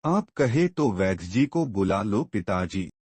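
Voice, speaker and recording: A man's voice: on the low side, very animated, measured pace, darkish tone, husky, no room sound, heavily acted. A man playing a caring, respectful young adult son in a cartoon moral story. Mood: concerned.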